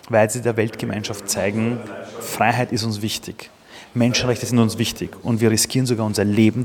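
A man in his forties who was born in Iran, giving earnest, impassioned answers as an entrepreneur in an interview. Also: mid-range voice. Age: 40s.

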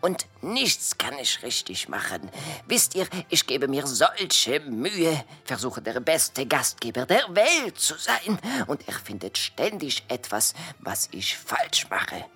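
An eager butterfly waiter from a children's audio play, speaking with a French accent. The voice sits low for a woman, bright and unhurried, and swings expressively with polite, plaintive drama. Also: very animated, slightly rough, faint music behind, heavily acted. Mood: upset, plaintive.

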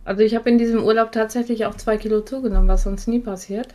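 A woman's voice: on the high side, steady tone, fast, darkish tone, a little hiss or hum. A woman co-hosting a casual chat podcast, getting over a cold, talking in a blunt, matter-of-fact way. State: leftover cold. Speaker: podcast co-host.

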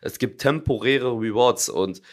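A man's voice: medium pitch, measured pace. Enthusiastic gamer man on a podcast. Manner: enthusiastic gamer talk, fillers and restarts. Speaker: podcast co-host. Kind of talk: casual podcast chat.

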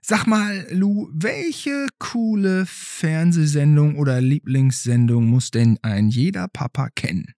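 A man's voice: fairly high pitch, expressive, slow, hardly any pauses, warm tone, no echo, clean studio sound. A man hosting a casual interview with a child, asking questions in a playfully impressed manner. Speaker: podcast host.

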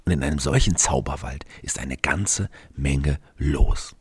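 A man narrating a children's radio play as a measured storyteller who addresses the listeners. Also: extremely low pitch, husky, no echo.

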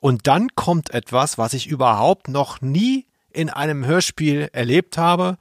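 A man chats as a podcast host, explaining and commenting with amusement. His voice sits a little high, and the close microphone gives a dry sound free of room echo. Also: lively intonation, unhurried. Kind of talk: conversational commentary on radio plays.

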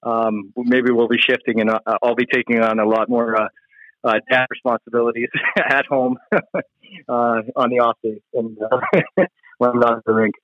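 A man giving casual, halting interview answers as a hockey player. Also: medium pitch, level tone, no echo, highs cut off. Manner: hesitant, halting, frequent you-knows. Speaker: professional ice hockey player being interviewed.

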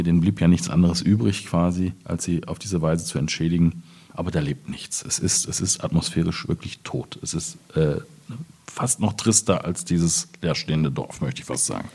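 A man speaking as a poet at a literary reading, in measured, clearly phrased German delivery. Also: low voice, mellow. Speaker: poet reading his own work. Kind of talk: literary reading of poems with informal introduction.

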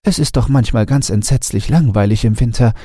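A man's voice: mid-range voice, fairly quick, warm tone, husky, no room sound, clean studio sound, voice pushed up, lightly acted. A man voicing bored, wistful garden bushes with a plaintive manner in a bedtime fairy tale. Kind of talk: bedtime storybook character voice.

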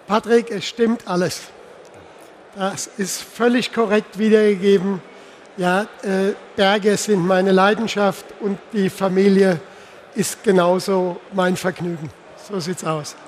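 A man's voice: high-pitched, steady tone, takes it slowly. A man in his sixties from the Palatinate in Germany, talking casually as an interview guest on stage. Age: 60s. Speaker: interview guest, insurance executive. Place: live stage at a trade fair. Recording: faint background noise, live event.

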